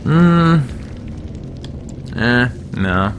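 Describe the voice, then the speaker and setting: A man's voice: medium pitch, lively intonation, drawn-out words, a bit bright, slight background noise. A man streaming a role-playing game, giving casual commentary and muttering his thoughts as he goes. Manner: thinking aloud, muttering to himself.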